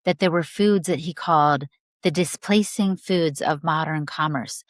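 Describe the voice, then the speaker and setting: A woman's voice: low voice, unhurried, no room sound, studio quality. A woman in her sixties giving eager wellness advice in a casual podcast conversation, often speaking straight to mothers. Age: 60s. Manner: enthusiastic, advice-giving, talks to listeners as "Mama". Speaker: guest, wellness educator.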